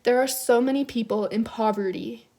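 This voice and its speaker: A woman's voice: a little high, normal speed, dark tone. A young woman delivering a mock-earnest, tearful-sounding apology video as a youtuber.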